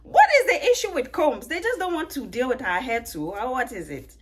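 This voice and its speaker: A woman's voice: high voice, very animated, a little fast, a bit bright. Chatty woman with a mixed Ghanaian-Italian accent. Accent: mixed Ghanaian, Italian, British and American. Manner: chatty, joking, laughs often, asks viewers questions. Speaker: vlogger. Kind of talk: casual chat to camera while detangling hair. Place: at home.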